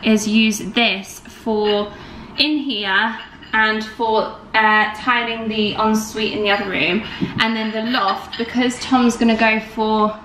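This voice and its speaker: A woman's voice: fairly high pitch, steady tone, slow, fairly bright tone, slight background noise, low-quality recording. A young woman chatting casually and enthusiastically about her home renovation, with lots of "like" and "um". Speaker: vlogger. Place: house under renovation, indoors. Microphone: camera held or set close, front-facing.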